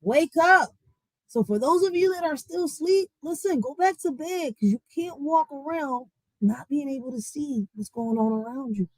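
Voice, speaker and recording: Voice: high voice, normal speed, dry recording, rough recording. American vlogger, preachy, urging viewers, dry close mic. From United States. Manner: preachy, urging the audience directly. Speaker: vlogger commenting on a clip. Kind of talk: casual commentary to viewers.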